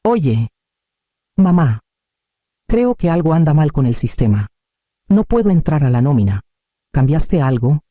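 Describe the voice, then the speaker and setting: Adult son voiced by a male narrator, story dialogue, insistent and worried, very mellow timbre, dry recording. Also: a little high, very animated, a little slow, pauses often, no room sound. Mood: confused. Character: worried, demanding adult son leaving phone messages.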